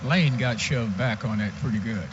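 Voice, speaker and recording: A man's voice: medium pitch, moderate pace. An American man working as a radio color commentator, giving brief, folksy, informal remarks on a football game. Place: stadium radio booth. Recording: dull, noisy stadium radio broadcast.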